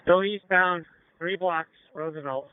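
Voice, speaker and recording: A man's voice: sits high, measured pace. A man, a police officer, calling in a pursuit over two-way radio in urgent, clipped phrases. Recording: noisy narrow-band police scanner radio.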